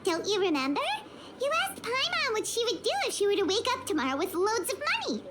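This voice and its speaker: Squeaky, bubbly little fairy companion. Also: female voice, sing-song, brisk, very noisy. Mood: cheerful. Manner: speaks of self in third person, bubbly. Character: small chirpy childlike fairy companion, friendly, self-important. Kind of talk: video game voice acting. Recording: game music behind the voice.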